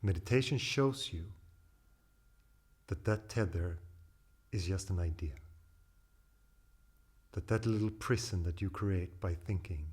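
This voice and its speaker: A man with a deep, breathy and slightly husky voice guides a meditation. He delivers each instruction calmly and deliberately, leaving long pauses between phrases. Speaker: meditation teacher.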